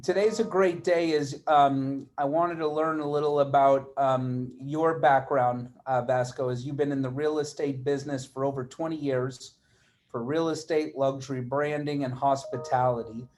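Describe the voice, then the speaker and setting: A man's voice: mid-range voice, steady tone, normal speed, a little mellow, a little hiss or hum. A man hosting an interview, reading an introduction and then asking friendly questions. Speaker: interview host.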